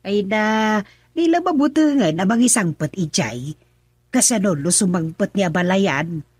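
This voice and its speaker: Radio drama: actress as an Ilocano mother, husky, slow, questioning. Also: medium pitch, expressive, darkish tone, no room sound. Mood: concerned. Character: older mother, concerned.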